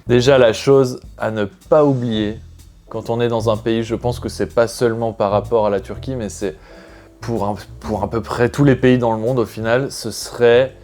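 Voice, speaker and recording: A man's voice: medium pitch, a little mellow. A man from France talking to his viewers in a casual vlog, chatty and thinking aloud as he rambles from point to point. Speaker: expat vlogger.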